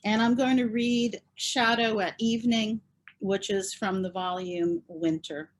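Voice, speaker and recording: A woman speaking in a measured, deliberate way, as at a heartfelt poetry tribute on a video call. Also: medium pitch, steady tone. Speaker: poet reading at a tribute event. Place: virtual reading over video call.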